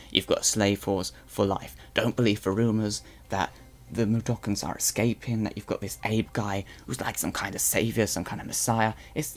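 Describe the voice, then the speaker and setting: Man doing a blustery Slig newscaster. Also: on the low side. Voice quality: weird put-on accent. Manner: blustery, rambling with stammered restarts. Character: male Slig guard turned propaganda newscaster, boastful. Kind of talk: in-character live stream chat roleplay.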